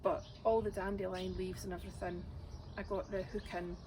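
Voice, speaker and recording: A woman, her voice raspy today, chatting casually as she works in her outdoor garden with birdsong around her. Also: fairly low pitch, flat delivery, normal speed, background noise. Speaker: gardening vlogger. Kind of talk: casual chat while gardening.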